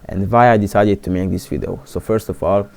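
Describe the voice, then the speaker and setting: A man's voice: lowish voice, fairly even intonation, fairly quick, vocal fry, a little mellow. A seventeen-year-old boy from Romania, a native Hungarian speaker, talking casually in a vlog with many fillers and restarts. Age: teens. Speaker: vlogger.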